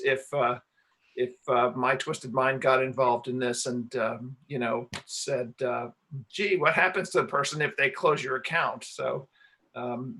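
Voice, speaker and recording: A man's voice: mid-range voice, bright, forceful tone. A man answering questions as a radio play writer and director at a live Q&A, explaining things in a hesitant, rambling way. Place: talk before a live audience.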